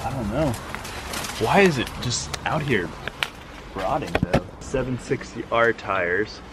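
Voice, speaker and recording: Young man, slow and drawling, excitable, mid pitch, outdoor vlog with loud music under him. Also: very noisy. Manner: excitable, exclaiming. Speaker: vlogger companion. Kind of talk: casual exploring chat.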